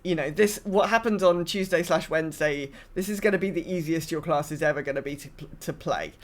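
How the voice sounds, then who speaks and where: High-voiced man chatting casually. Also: brisk. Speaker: podcast guest. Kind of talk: casual gaming podcast chat.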